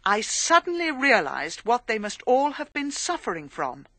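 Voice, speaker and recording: An actress with an English accent in a radio drama, playing an insistent, talkative middle-aged lady who speaks with emphatic pauses. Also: fairly high pitch, big pitch swings, fairly bright tone, exaggerated voice. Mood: confiding.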